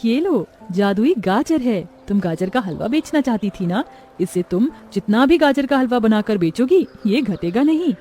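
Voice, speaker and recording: A woman voicing a kind magical fairy, gentle and gracious, in a moral-story cartoon. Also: fairly high pitch, lively intonation, moderate pace, faint music behind, close to an everyday voice. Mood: benevolent.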